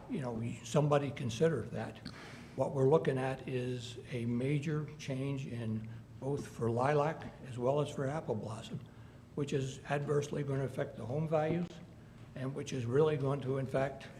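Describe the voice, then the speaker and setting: Gravelly-voiced man at a public hearing. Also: medium pitch, dark tone, slight background noise. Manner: earnest, halting, with pauses and fillers. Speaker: resident speaking at a public hearing. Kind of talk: public comment at a zoning meeting.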